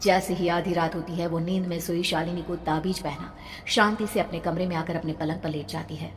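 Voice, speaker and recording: Casting: woman storyteller for horror tales, fairly low and dramatic, reverberant over soft music. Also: fairly even intonation, brisk. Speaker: narrator. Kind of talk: scripted horror story narration.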